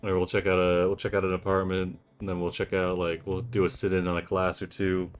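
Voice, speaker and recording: A man with a low, fairly monotone voice takes part in a tabletop game. He is measured and casual, reasoning aloud about his character's moves. Faint music sits behind him. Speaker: player. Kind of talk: casual tabletop roleplay, planning and describing actions.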